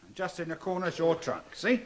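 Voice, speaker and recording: A Cockney storekeeper in a radio drama, his fairly high voice swinging widely up and down. He is a chatty, petty working man who grumbles freely yet stays deferential to his customers. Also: big pitch swings, a little fast. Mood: matter-of-fact, puzzled. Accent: London Cockney.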